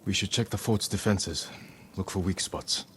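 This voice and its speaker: A calm, earnest samurai from a video game with a very deep voice. Faint game ambience sits quietly behind him. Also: male voice, moderate pace, slight background noise.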